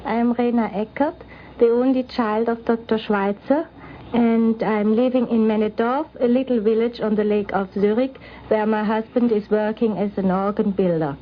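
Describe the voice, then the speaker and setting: High-voiced middle-aged woman, old radio recording. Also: steady tone, normal speed. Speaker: interviewee, daughter of the programme's subject. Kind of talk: prepared personal account for radio. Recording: dull 1950s radio recording.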